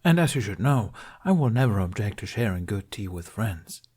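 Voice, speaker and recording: A man's voice: lowish voice, sing-song, moderate pace, a little mellow, slightly rough. A man reading the part of a kindly, wry older Jedi master in a story, with dry, teasing courtesy.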